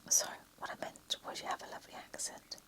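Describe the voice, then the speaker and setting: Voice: quick pace, close to an everyday voice. A reader whispering the lines of a friendly, charming man who is polite and a little awkward.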